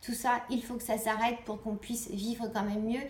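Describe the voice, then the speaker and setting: A woman's voice: on the high side, little pitch movement, normal speed. A woman gives an informal political address to viewers, earnest and exhorting, repeating phrases for emphasis. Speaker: online video host. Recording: echoey room, clear background noise.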